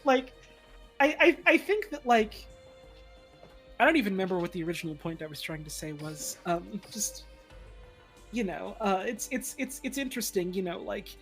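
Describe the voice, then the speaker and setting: A fandub performer chatting casually on a livestream in a halting, rambling way, animatedly quoting their own thoughts. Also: mid-range voice, very animated, fairly bright tone. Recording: music playing behind the voice. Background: background music.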